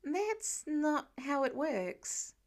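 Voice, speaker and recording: A woman's voice: high-pitched, sing-song, extremely slow, creaky, pitched above the performer's own voice, full character voice. A woman voicing an earnest, exasperated teenage boy in a fiction audiobook, protesting in an offhand, indignant way.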